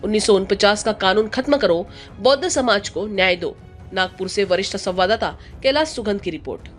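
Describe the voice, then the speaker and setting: A woman's voice: medium pitch, lively intonation, normal speed. A woman reading a scripted news report voice-over. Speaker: news narrator. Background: music.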